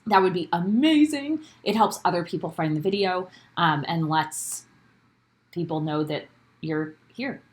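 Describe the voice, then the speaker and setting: A woman's voice: on the low side, expressive, unhurried. Young woman, co-host, casual chat, upbeat, laughs a lot, home bedroom. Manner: upbeat, greets chat viewers by name, laughs often. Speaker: podcast co-host. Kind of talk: casual recap chat, live stream.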